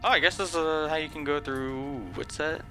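A man's voice: a little high, brisk, a bit bright, soft background music. A man reacting live to a video game, jumpy and exclaiming, often panicky and rambling. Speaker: gameplay streamer.

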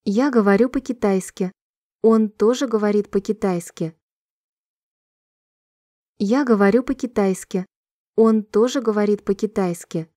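A woman reading short sentences slowly and clearly for a language-listening drill, repeating each one in a measured, even way. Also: mid-range voice, dry recording, clean studio sound. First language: Russian. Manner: clear, measured, evenly paced repetition. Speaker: Russian sentence reader.

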